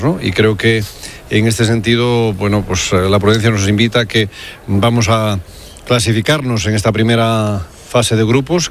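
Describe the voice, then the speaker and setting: Lowish male official over background noise. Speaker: football federation president. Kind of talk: press appearance, spontaneous answers. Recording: background noise of a live press appearance.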